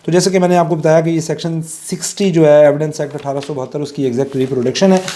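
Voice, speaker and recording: A man's voice: on the high side, steady tone, fast talker, mellow. A middle-aged man with a Pakistani accent gives an informal, conversational lecture, explaining with everyday examples and mixing in English legal terms. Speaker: law lecturer.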